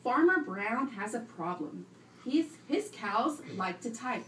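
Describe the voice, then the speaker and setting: Woman, primary teacher, animated intonation, read-aloud and questioning style, instructive, distant microphone, roomy classroom. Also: fairly high pitch, slow pace. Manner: instructive, prompting and repeating pupils' answers. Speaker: teacher reading aloud to a class of young children. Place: indoor classroom, recorded from a distance. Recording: roomy, distant classroom recording with faint noise.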